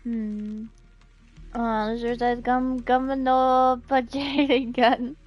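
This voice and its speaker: High-voiced, excitable woman streamer. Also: extremely slow, dull audio, soft background music. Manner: giggly, excitable. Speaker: game streamer. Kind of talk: casual live-stream chat, reading out comments.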